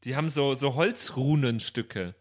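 A man chatting casually and jokingly, excitable and amused. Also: mid-range voice, normal speed. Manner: excitable, amused, breaks into laughter. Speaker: podcast player in an improvised audio play.

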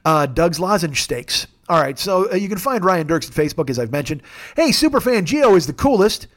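A man in his forties hosting a podcast alone, talking in a rambling, jokey, self-deprecating way with a gravelly voice. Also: high-pitched, big pitch swings, brisk, fairly bright tone. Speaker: podcast host. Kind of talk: casual solo monologue.

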